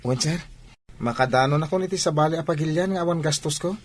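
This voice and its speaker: A man's voice: fairly high pitch, quick pace, a little hiss or hum, lightly acted. A man playing a respectful, eager young athlete in an Ilocano radio drama, with an Ilocano Filipino accent.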